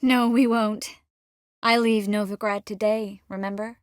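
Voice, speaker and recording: A woman playing an adult sorceress in a video game, warm and wry yet resolute, speaking conversationally and often softly. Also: medium pitch, steady tone, measured pace, dry recording, studio quality, lightly acted. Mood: firm, composed. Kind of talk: video game dialogue voice acting.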